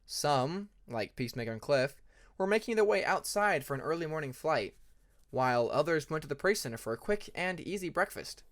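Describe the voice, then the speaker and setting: An audiobook narrator with a mid-range voice and a very lively, swinging delivery. The reading is expressive, like someone telling a fantasy fan story. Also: medium pitch, a little fast.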